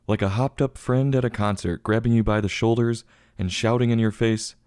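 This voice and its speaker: A man's voice: lowish voice, fairly even intonation, fairly quick, darkish tone, smooth, no room sound, clean studio sound. A man hosting a review show, reading a scripted review in a tongue-in-cheek manner. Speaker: book review show host.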